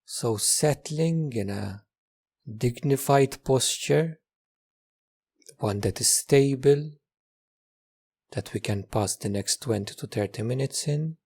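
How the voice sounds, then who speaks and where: A man leading a guided meditation as its teacher, giving gentle instructions in a calm, measured way with repeated phrases and long pauses. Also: medium pitch, vocal fry, slightly rough.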